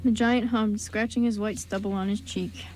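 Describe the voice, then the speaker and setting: A woman's voice: mid-range voice, fairly even intonation, moderate pace, a little mellow, slight background noise, rough recording. A woman reading scripted story narration for an amateur radio drama.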